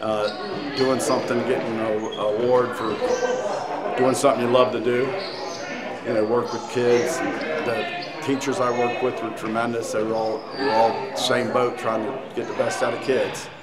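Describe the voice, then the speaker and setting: A man in his sixties, a retired coach and gym teacher, speaking in a heartfelt, halting way with repeats. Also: mid-range voice, little pitch movement. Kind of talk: emotional tribute speech and interview. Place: school gym hallway, indoors. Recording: noisy gym with loud crowd. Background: crowd noise and bouncing basketballs.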